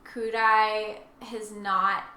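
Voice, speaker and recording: A woman's voice: mid-range voice, level tone, extremely slow, sharp tone. A woman co-hosting a casual, conversational discussion of a story. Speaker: co-host. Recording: echoey room with faint ambient noise.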